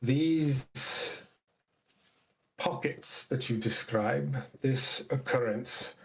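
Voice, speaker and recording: A man voicing a solemn elder who recounts ancient lore in a tabletop game, measured, formal and portentous. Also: medium pitch, creaky, poor audio.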